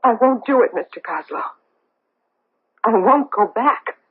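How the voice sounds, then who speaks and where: A woman's voice: a little high, measured pace, husky. An actress playing a middle-aged socialite hiding her past in a radio drama, hesitant, anxious and defensive. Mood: guarded, agitated. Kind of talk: 1970s radio drama acting. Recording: tinny, band-limited old radio broadcast.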